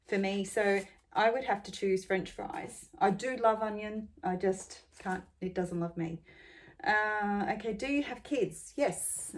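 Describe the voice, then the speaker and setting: An Australian woman in her forties answering tag questions in a casual, rambling way, with many ums and pauses. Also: medium pitch, a little hiss or hum. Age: 40s. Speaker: beauty vlogger.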